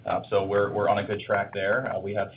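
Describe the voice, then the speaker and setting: A male executive answering analyst questions on an earnings call, brisk, with filler uhs and repeated words. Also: fairly low pitch, monotone, a little hiss or hum. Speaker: company executive. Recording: muffled conference call line.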